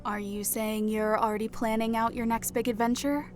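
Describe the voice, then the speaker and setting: A man's voice: high-pitched, moderate pace, voice pushed up, close to an everyday voice. A man voicing a playful, teasing young woman companion in a raised, lighter tone. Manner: lively, teasing.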